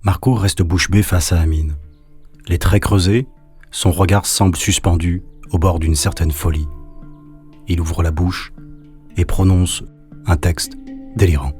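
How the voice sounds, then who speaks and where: A man narrating a sombre audio drama as a storyteller, measured and solemn. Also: low voice, fairly even intonation, darkish tone. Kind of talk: scripted literary narration. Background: music.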